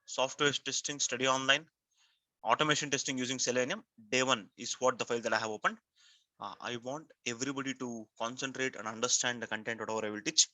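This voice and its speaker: A man's voice: mid-range voice, fairly even intonation, fast talker, pushed and bright, dry recording. A man with an Indian accent teaching a live online class, repeating himself and prompting students with frequent questions in an emphatic, step-by-step way. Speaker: software testing instructor.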